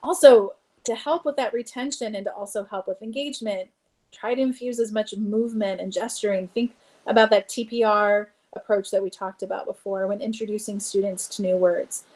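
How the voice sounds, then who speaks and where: A woman's voice: medium pitch, fairly even intonation, brisk, a little mellow, poor audio. Casual woman giving a teacher training talk. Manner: casual, explanatory, frequent fillers. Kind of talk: training presentation.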